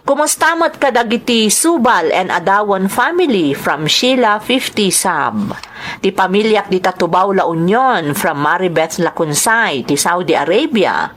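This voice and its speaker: A woman's voice: mid-range voice, lively intonation, measured pace, fairly bright tone. A woman with an Ilocano Filipino accent, hosting and narrating in a scripted radio style as she reads out listener greetings and letters.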